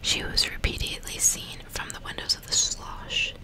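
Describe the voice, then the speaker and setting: A woman's voice: normal speed, sharp tone. Whispering ASMR woman. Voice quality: breathy, unvoiced whisper. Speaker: ASMR host reading a novel aloud. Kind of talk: whispered ASMR, chat and audiobook-style reading. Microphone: close ASMR microphone.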